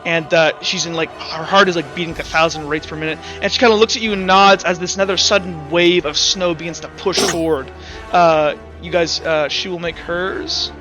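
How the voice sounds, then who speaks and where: A man acting as game master in a tabletop roleplaying game, narrating off the cuff and calling for rolls in a halting, think-aloud way. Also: a little high, fairly even intonation, music in the background, rough recording.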